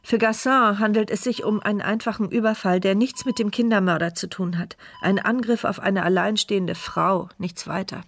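A woman's voice: mid-range voice, fairly even intonation, normal speed, few pauses, lightly acted. An actress playing an injured woman who narrates her thoughts in a wry, wary inner monologue for a radio crime drama. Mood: uneasy, reflective. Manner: inward, sardonic monologue.

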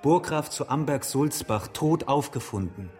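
A man acting a pious, earnest companion to an investigator in a historical radio play. His mid-range voice is measured and sober, with clear music behind it. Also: mid-range voice, animated, darkish tone, lightly acted. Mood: sober, questioning. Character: pious, earnest companion helping question witnesses.

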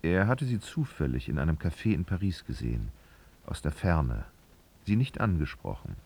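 A man narrating a literary radio drama in a gravelly voice, with expressive, knowing, ironic storytelling. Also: a bass voice, moderate pace, heavily acted. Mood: wry narration. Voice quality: creaky, gravelly.

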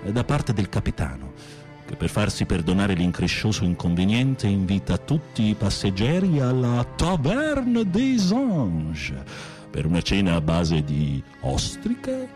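A campy, low-voiced theatrical inmate. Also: male voice, wildly swooping pitch, measured pace, a little mellow, soft background music. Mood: melodramatic storytelling. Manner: florid, campy, teasing storytelling. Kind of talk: radio play acting.

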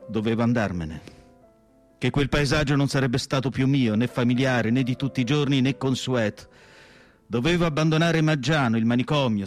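An actor playing an elderly, melancholy asylum doctor and writer in a measured, solemn radio theatre monologue with pauses between short phrases. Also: male voice, mid-range voice, close to an everyday voice. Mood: anguished, resigned. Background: instrumental music.

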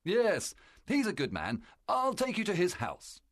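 A man voicing a brisk, reassuring police officer in an audiobook. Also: high voice, huge pitch swings, fairly bright tone, no echo, clean studio sound, voice pushed up, exaggerated voice. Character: helpful male police officer.

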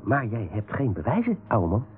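A man's voice: mid-range voice, wildly swooping pitch, normal speed, a little hiss or hum. Cheeky male rogue, swooping intonation, wheedling and teasing, thin phone-like radio drama sound. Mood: scheming. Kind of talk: radio play acting. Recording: narrow, phone-like radio recording.

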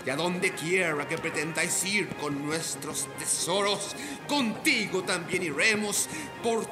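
A man's voice: high-pitched, slow pace. A man playing a proud, menacing old ghost pirate captain in a radio drama, grave and theatrical. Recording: music behind. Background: music.

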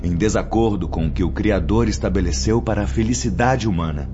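Deep, solemn spirit mentor, played by a man. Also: a little fast, natural voice. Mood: patient, admonishing. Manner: solemn, didactic, formal diction. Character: Brother Clemente, grave patient male spirit mentor. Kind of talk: radio drama acting. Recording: dull lo-fi audio under music.

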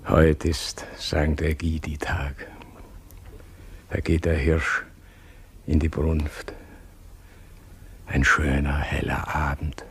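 An actor in a radio play as an aging, grandiose king, speaking in a declamatory, poetic way with hushed phrases. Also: extremely low pitch, flat delivery, takes it slowly, vocal fry, pauses often, breathy edge, exaggerated voice. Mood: hushed, reverie. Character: aging, grandiose, brooding male king of Poland. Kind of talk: German radio play acting, poetic monologue.

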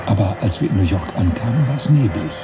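An actor playing a wistful, romantic writer-narrator in a literary radio drama, musing deliberately in short phrases. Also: male voice, lowish voice, animated, heavy background noise. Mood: wistful, nostalgic. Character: wistful romantic male narrator-writer recalling his emigration voyage. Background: music.

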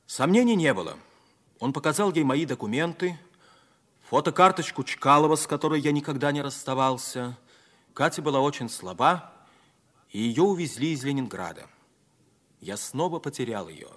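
A man's voice: mid-range voice, lively intonation, normal speed, a bit bright. An actor playing a military pilot who narrates his own story of lost love in a 1950s radio drama. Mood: somber. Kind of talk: dramatic first-person narration, 1950s Soviet radio play.

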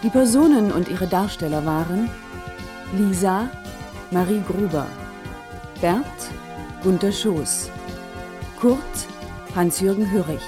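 A woman's voice: mid-range voice, expressive, slow pace, long pauses. A woman radio announcer reading scripted closing credits in a formal announcement style. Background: music.

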